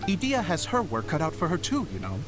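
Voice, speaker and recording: An actor voicing an earnest male theater director in a game, speaking in a gently theatrical storytelling manner. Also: on the high side, wildly swooping pitch, quick pace. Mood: proud. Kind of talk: game voice acting. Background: game music.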